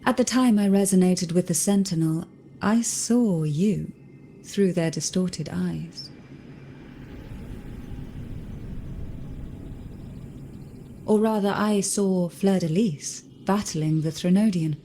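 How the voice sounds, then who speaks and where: An actress playing a calm, knowing woman guardian in a fantasy game, speaking in a measured, solemn way. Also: on the low side, a little hiss or hum. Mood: wistful.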